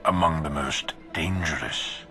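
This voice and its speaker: An extremely deep, gravelly game villain. This grim dark lord speaks with solemn, imperious command, each phrase measured. Loud music plays behind him. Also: male voice, exaggerated voice. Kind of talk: video game voice acting.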